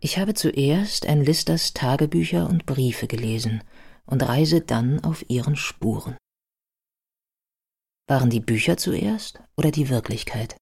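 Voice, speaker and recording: A narrator reading scripted literary radio narration in a measured, reflective manner. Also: medium pitch, animated, creaky, darkish tone, slightly rough, full character voice. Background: music.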